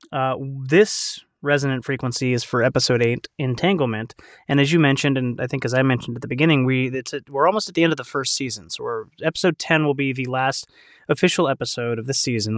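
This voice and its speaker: Man, podcast host, average pitch, casual conversational delivery, dry close sound. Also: brisk, no room sound. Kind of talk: casual hosted podcast discussion.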